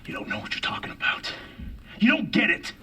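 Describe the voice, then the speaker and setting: An actor playing an urgent, pleading military rescue operative in a video game cutscene. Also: male voice, a little fast, distant mic, heavy background noise, lightly acted. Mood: desperate, pleading.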